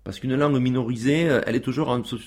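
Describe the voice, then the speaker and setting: Man, radio guest, casual talk, halting, lots of fillers. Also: medium pitch, fairly even intonation, darkish tone. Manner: hesitant, many fillers and restarts.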